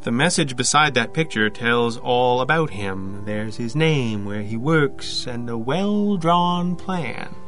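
Over faint music, an anxious, obsessive loner in an audio drama. His voice sits at a middle pitch. He talks haltingly and with agitation, doubting himself as he goes. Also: fairly bright tone. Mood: intense, insistent. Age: 50s. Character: anxious, obsessive loner inventor confessing to a priest.

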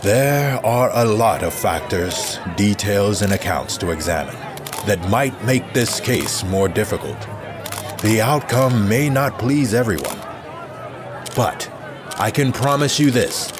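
A man's voice: fairly low pitch, expressive, takes it slowly. A man playing a reassuring city official in an audio drama, giving a careful, formal public statement. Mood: assured. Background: music.

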